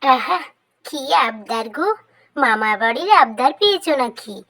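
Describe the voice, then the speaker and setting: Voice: mid-range voice, lively intonation, moderate pace, sharp tone. The narrator voicing a grumpy, selfish rabbit in a cartoon, scoffing and dismissive. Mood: scornful. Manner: scoffing, snappish. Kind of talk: cartoon voice acting.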